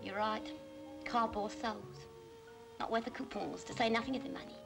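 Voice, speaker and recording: An actress playing a sharp-tongued, gossipy English barmaid with airs in a 1940s British film. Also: female voice, fairly high pitch, steady tone, normal speed, gravelly. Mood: disdainful, gossipy. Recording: old 1940s film soundtrack with music.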